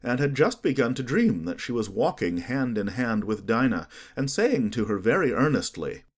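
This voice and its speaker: A man narrating an audiobook in an expressive storytelling manner. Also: mid-range voice, moderate pace, husky.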